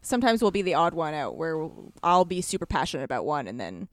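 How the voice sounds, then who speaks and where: A woman's voice: sits low, sing-song, quick pace, no room sound, studio quality. A woman chatting casually as a podcast guest, speaking effusively and with emphasis about what she likes. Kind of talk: casual chat.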